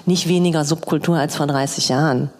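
A middle-aged woman with a fairly low voice talks casually as a panel guest. She is informal and anecdotal, and the hall around her rings with reverb. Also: steady tone, brisk, a little mellow. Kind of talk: casual live panel chat. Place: open design office event space. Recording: reverberant hall, live event.

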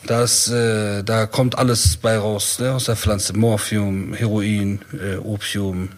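A man's voice: lowish voice, level tone, takes it slowly. A man in his forties from Iran, co-hosting a podcast and chatting casually in street slang, calling his partner brother. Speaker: podcast co-host. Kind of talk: casual chat.

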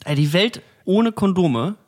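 A young man co-hosting a casual podcast, dropping deadpan, ironic jokes and laughing along. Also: sits high, measured pace. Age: young adult. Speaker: podcast co-host. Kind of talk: casual chat.

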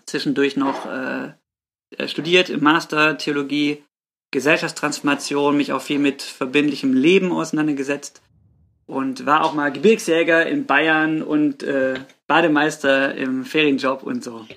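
A man's voice: a little high, fairly even intonation, normal speed, fairly bright tone. A man in his late thirties from Swabia in southern Germany, chatting casually as a podcast guest. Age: late 30s. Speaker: podcast guest, youth church worker. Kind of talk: casual chat.

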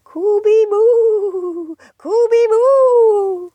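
A woman voicing a small, harmless storybook ghost that repeats one drawn-out, hooting spooky call. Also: mellow, no echo, exaggerated voice. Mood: eerie wailing. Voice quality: drawn-out, high, hooting moan.

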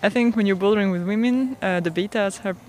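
A woman from France speaking in a slow, reflective way about her experience. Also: mid-range voice, darkish tone, slight background noise. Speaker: festival participant.

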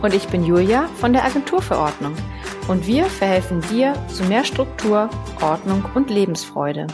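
A woman co-hosting a podcast, talking in an animated, storytelling way with many fillers. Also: mid-range voice, normal speed, music in the background. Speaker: podcast co-host. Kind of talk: casual chat.

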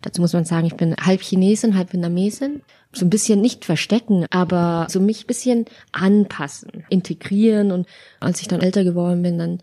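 Relaxed, dark-toned woman guest from Bavaria. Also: fairly low pitch, a little fast. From Bavaria, Germany. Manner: informal, conversational. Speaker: podcast guest, lifestyle and travel blogger. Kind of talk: casual interview chat. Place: living room.

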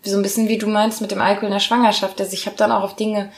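A woman in her thirties chats casually as a podcast co-host. Her medium-pitched voice is rough and gravelly, with plenty of creak and a slight hoarseness from a cold. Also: flat delivery, rapid-fire. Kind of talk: casual conversation.

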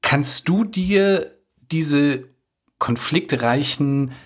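A man from Afghanistan interviewing a guest, asking measured, probing questions and repeating words as he frames them. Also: fairly high pitch, expressive.

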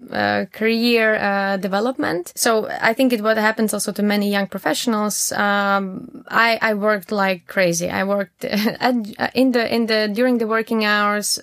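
A young woman with a Latvian accent speaks as a guest in a conversational interview. Her mid-pitched voice has a noticeable creak, and she talks candidly, often searching for the next phrase. The sound is close and dry. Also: no echo. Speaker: interview guest, startup founder.

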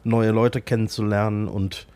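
A man with a cold and a blocked nose, his voice pitched fairly low. He co-hosts a podcast in casual chat and hesitates often with filler sounds. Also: level tone, normal speed, warm tone, clear, resonant tone. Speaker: podcast co-host. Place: cold covered tent studio.

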